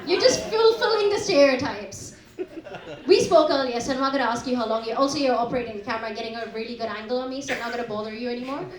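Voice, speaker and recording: A woman hosting a stand-up night, teasing the audience with brash, quick banter in a pub venue. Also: a little high. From India. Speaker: comedy MC and host. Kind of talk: stand-up crowd work. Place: small comedy pub venue. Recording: roomy live pub gig. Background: laughing crowd.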